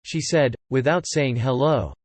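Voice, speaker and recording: A man's voice: medium pitch, unhurried, no echo. A man narrating a scripted audiobook story in the third person.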